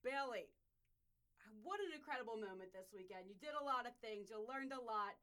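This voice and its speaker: A young woman from Ohio hosting a podcast interview in a chatty, animated way. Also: fairly high pitch, moderate pace. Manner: chatty and animated, with a punchy scripted opening. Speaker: podcast host.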